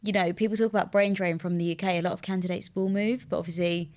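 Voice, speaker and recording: A young woman from the UK with a fairly low voice chats easily on a podcast, leaning on "like" and "you know" as she thinks aloud. Her talk is loose and friendly, the way people talk in a relaxed interview. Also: fast talker. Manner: chatty, many "like" and "you know" fillers. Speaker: recruitment firm co-founder, podcast guest. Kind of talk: casual interview chat.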